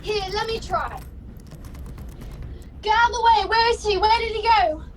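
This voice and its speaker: A woman as a very high-pitched, bossy police officer. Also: normal speed. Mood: urgent, shouting. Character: bossy, barking male police officer on a manhunt. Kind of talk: radio play acting. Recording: noisy home video call audio.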